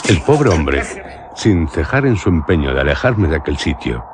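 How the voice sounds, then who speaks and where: An actor playing a melancholic traveller narrating a literary radio drama, solemn and measured, with a Castilian accent. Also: male voice, very deep voice, big pitch swings, very noisy. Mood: grave, suspenseful. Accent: Castilian Spanish. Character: solemn, melancholic male traveller recounting an eerie journey.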